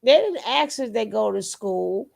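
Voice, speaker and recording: Woman host, sassy reactor, high and animated. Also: a little slow, creaky. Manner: sassy, laughing asides. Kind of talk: reaction commentary.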